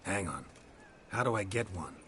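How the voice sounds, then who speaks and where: A very deep, gravelly male voice as a gruff, world-weary game monster hunter. He is laconic and deadpan, wasting no words. Faint ambience sits behind him. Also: moderate pace, a little hiss or hum. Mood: terse, deadpan. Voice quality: gravelly, low growl. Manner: terse, deadpan.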